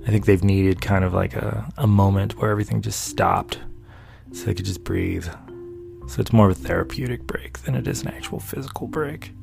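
A gravelly man from North Carolina talks casually about his painting and his day. His voice sits fairly low and rambles along with easy amusement, with soft music far in the background. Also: fairly quick. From North Carolina, USA. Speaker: painter and video maker. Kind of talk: casual talking-head chat.

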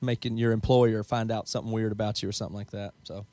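A man's voice: on the low side, level tone, fast, warm tone, dry recording. A man hosting a podcast, speaking emphatically and pressing his point in casual talk. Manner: emphatic, ranting. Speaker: podcast host. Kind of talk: casual chat.

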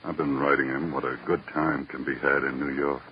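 An actor playing a sly, wheedling police lab technician in an old-time radio crime drama. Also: male voice, a bass voice, little pitch movement. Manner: wheedling, drawn-out teasing. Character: sly, needling male police lab technician, fond of favors. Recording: muffled, noisy vintage radio recording.

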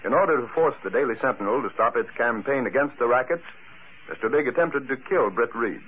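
A man performing a dramatic vintage radio announcer. His medium-pitched delivery is portentous, measured and emphatic, on a thin, faintly noisy old broadcast recording. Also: lively intonation, narrow, tinny audio, natural voice. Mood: grave, dramatic. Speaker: announcer and narrator. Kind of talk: 1940s radio scripted narration.

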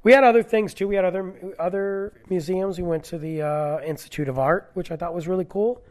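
A man's voice: high-pitched, brisk, a little mellow. A man in his forties, stuffy from a cold, chatting casually on his own and rambling through stories with lots of fillers. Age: 40s. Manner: rambling, digressive, many fillers. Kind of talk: casual solo chat.

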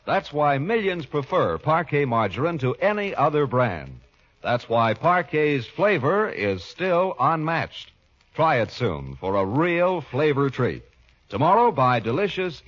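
Male announcer, 1940s sponsor pitch, polished, animated intonation, dull vintage recording. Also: slightly rough. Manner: polished, salesmanlike. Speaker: radio announcer.

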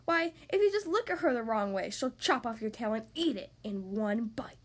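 A woman voicing an excitable young boy rabbit in a children's storybook, whiny and boastful in a dramatic, exclaiming manner. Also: on the high side, normal speed. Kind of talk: children's storybook read-aloud.

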